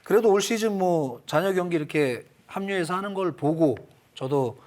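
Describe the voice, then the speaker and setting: A man giving unscripted baseball analysis on a sports panel, explaining his reasoning in a measured way. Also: sits high, a little mellow.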